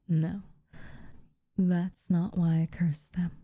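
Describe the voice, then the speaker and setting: A woman playing an aloof, teasing but secretly tender witch in a soft, intimate ASMR roleplay, with a breathy, half-whispered delivery and dramatic pauses. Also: on the low side, flat delivery, dry recording, dull audio, heavily acted. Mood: wry, tender.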